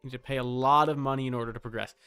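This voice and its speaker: A man's voice: medium pitch, fairly even intonation, very fast, pushed and bright, smooth, dry recording, studio quality, faint music behind. A man chatting casually and swearing as he livestreams while setting up a game character. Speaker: streamer.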